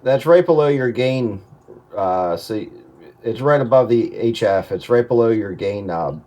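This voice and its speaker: A man's voice: mid-range voice, expressive, measured pace, mellow, slight background noise. A man in a casual technical chat over the radio, advising on an audio setup in a hesitant, thinking-aloud way.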